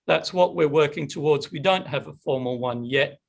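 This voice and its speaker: A man's voice: fairly high pitch, moderate pace, gravelly. A middle-aged man hosting a webinar Q&A on a video call, chatty and upbeat. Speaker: webinar host. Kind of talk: casual webinar Q&A.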